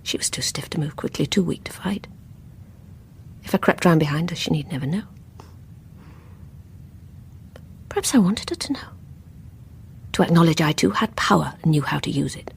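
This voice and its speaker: A woman's voice: deep voice, lively intonation, fairly quick, creaky, whispered. An actress playing a haunted, bitter, unstable woman in a radio play, with a brooding, intense delivery. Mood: menacing, hushed. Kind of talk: radio play acting, dramatic monologue.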